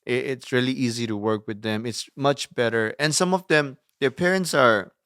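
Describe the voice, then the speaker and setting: A young adult man, a non-native English speaker, explaining things in a measured way as an interview guest on youth ministry. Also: medium pitch, a bit bright, smooth, dry recording, studio quality. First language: not English. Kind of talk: conversational interview about ministry.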